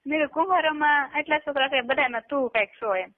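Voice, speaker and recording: A woman's voice: sits high, fast. A woman with a Gujarati accent in a casual, private phone conversation, talking colloquially. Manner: colloquial, brief backchannels. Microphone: telephone line. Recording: tinny narrowband phone call recording.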